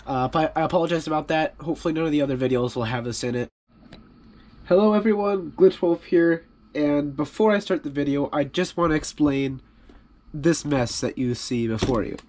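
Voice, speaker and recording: A man with a stuffy voice from a cold, casually talking through a repair on his hobby channel in a relaxed, rambling, self-deprecating way. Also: on the high side, a little fast, slight background noise.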